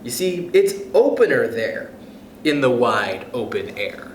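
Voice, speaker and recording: A young man reading a picture book aloud to children, gentle and animated, with soft chuckles and friendly asides. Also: mid-range voice, measured pace, echoey room, slight background noise. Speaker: youth club storyteller.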